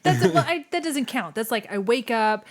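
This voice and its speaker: A woman's voice: fairly high pitch, expressive, normal speed. A woman speaking as a podcast host in an easy, informal register. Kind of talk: casual interview chat.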